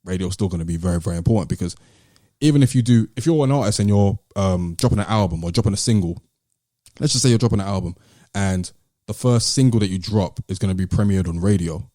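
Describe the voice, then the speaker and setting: A British man co-hosting a podcast, talking in a casual, measured and articulate way with some slang. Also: deep voice, warm tone, no echo, studio quality. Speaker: podcast host. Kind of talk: casual discussion and commentary.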